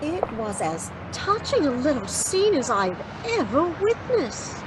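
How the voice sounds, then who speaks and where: Sassy tough-gal sidekick , actress, fairly high, very expressive voice, sarcastic audio-drama banter over noisy city ambience. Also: female voice, slow, exaggerated voice. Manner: teasing, sarcastic. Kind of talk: hard-boiled detective radio drama.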